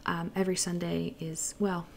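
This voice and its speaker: A woman's voice: lowish voice, little pitch movement, moderate pace, gravelly, darkish tone, a little hiss or hum. A young woman chatting casually in a voice-over, walking through items in her home kitchen and listing them with fillers. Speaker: lifestyle vlogger.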